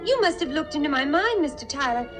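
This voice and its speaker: A kind-hearted young working woman in a vintage radio play. Her voice sits high and she speaks with effusive, earnest reassurance. Music runs behind the old recording. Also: very animated, fast talker. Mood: earnest, apologetic. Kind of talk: 1950s radio drama acting.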